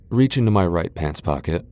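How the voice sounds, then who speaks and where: A man's voice: sits low, darkish tone, no echo, full character voice. A man playing a proud, sardonic vampire lord in a fantasy ASMR roleplay, with a dry, sighing delivery. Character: proud, sardonic male vampire lord, cornered yet defiant. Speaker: voice actor in a roleplay audio.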